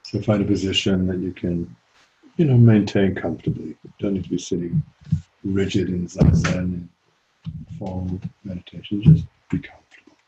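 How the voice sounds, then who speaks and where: A man leading a guided meditation as a teacher, speaking calmly and gently with long pauses. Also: low-pitched, dull audio, a little hiss or hum.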